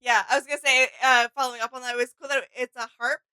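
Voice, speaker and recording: A woman with a high, bright voice, recorded dry and close. She talks casually as a co-host, agreeable and a little tentative as she offers her thoughts. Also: steady tone, quick pace, clear, resonant tone, no room sound. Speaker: reaction video co-host. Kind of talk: casual opinion chat with co-host.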